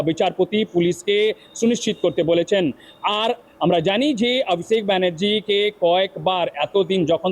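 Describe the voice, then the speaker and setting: A man giving an unscripted news report as a reporter, halting and repetitive, often breaking off words. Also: sits high, a little mellow. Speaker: field reporter.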